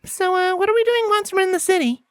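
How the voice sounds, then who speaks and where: A man's voice: extremely high pitch, fast talker, a bit bright, no room sound, voice pushed up. A man voicing a curious, childlike young female pony in a hesitant, questioning way for an audio story. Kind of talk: audiobook character voice.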